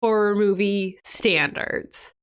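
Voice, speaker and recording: A woman's voice: mid-range voice, slow, smooth. An American woman co-hosting a podcast, chatting casually in a rambling way and sounding a bit congested from a cold. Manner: chatty, rambling, laughs often. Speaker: podcast co-host. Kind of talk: casual chat.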